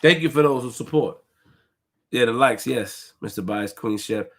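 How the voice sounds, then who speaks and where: A man's voice: mid-range voice, moderate pace, a bit bright. Man hyping his livestream chat. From Haitian family roots. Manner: hypes viewers, repeats phrases, reads donations aloud. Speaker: livestream host.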